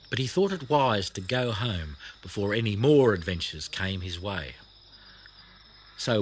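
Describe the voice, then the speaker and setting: A man reading a children's audiobook as narrator, telling the story expressively with deliberate emphasis. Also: on the low side, slight background noise.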